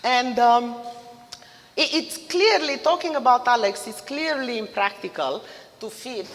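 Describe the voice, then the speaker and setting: A woman in her fifties from Romania with a Romanian accent, giving a mother-of-the-groom wedding toast in a loud voice, laughing between lines. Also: on the high side, slight background noise. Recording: reverberant hall.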